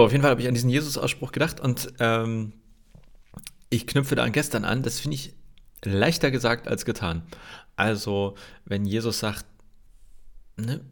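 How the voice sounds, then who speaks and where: A man's voice: mid-range voice, creaky. A man with a slightly nasal cold hosting a casual devotional livestream, rambling loosely with many fillers and restarts and reading Bible verses to his viewers. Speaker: host of a daily Bible livestream.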